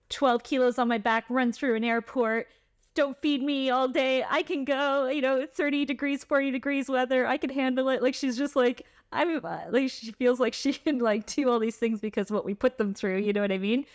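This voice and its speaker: A woman's voice: on the high side, no room sound, studio quality. A middle-aged woman from Canada chatting informally as an interview guest, often saying "like" and "you know". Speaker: podcast guest, traveling homeschooling mother. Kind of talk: casual interview chat.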